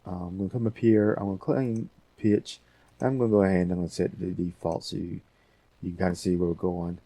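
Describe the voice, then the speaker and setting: Male tutorial host, low-pitched and dark in tone, casual and rambling, explaining each step. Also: little pitch movement, brisk, no echo. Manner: rambling, thinking aloud. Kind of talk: casual software tutorial, talking through steps.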